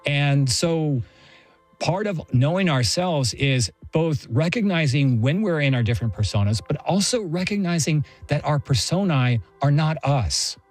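A man's voice: fairly high pitch, expressive, unhurried, soft background music. A middle-aged American man speaking as an interview guest, explaining ideas conversationally in a thoughtful, professorial way. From Texas, United States. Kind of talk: conversational explanation and personal anecdote.

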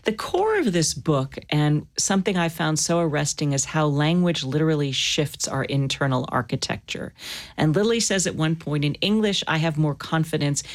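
Woman host, low-pitched voice, composed, deliberate, literary interview delivery. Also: lively intonation.

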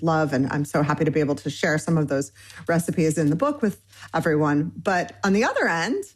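A woman's voice: low-pitched, lively intonation, a little fast. A woman in her forties from Michigan, a cookbook author in an interview, talking eagerly in long winding lists with plenty of fillers. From Michigan, United States. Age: 40s. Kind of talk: conversational interview.

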